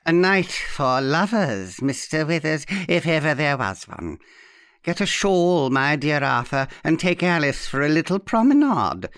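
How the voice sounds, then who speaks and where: A man voicing a mocking, unctuous old woman in an audiobook story, drawing out her words slowly. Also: a little high, sing-song, fairly bright tone, smooth, no echo, studio quality. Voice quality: unctuous, slow and drawn-out.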